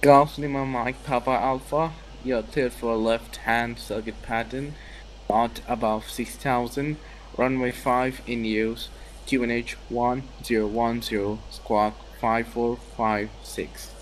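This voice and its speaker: A man's voice: medium pitch, steady tone, slow, rough recording. A man acting as an air traffic controller, giving clipped, formal radio calls. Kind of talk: radio phraseology.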